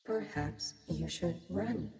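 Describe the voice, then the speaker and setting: An actress playing a calm, cryptic female therapist in a radio drama, speaking in a measured, clinical, detached way. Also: lowish voice, gravelly, over loud music, exaggerated voice. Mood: clinical, ominous. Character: calm, cryptic female therapist guiding a virtual-reality fear session. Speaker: actor. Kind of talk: radio theatre acting. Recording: long cavernous reverb, busy sound bed.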